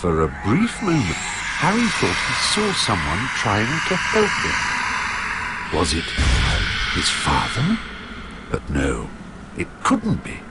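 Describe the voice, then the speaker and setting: A man narrating video game cutscenes in a scripted, storybook style, slow and dramatic. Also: on the low side, wildly swooping pitch, very noisy. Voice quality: slow and dramatic, with big swings in the voice.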